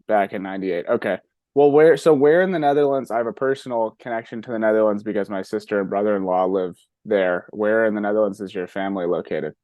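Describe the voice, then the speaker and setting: Middle-aged host, low-ish voice, dead dry audio, restarts his questions a lot. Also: fast talker, darkish tone, dry recording. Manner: friendly, questions with false starts. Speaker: podcast host. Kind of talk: casual interview.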